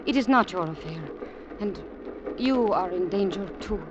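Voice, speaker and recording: An actress playing a grave young woman in danger, formal, quiet and earnest, in 1940s radio drama acting. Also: mid-range voice, lively intonation, moderate pace, lightly acted. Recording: dull old radio recording with hiss.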